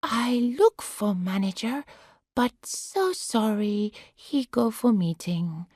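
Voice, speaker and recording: Woman doing a gentle apologetic carer. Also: fairly high pitch, lively intonation, no room sound, studio quality, voice pushed up. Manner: halting broken English, apologetic. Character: patient young female carer, gentle and polite.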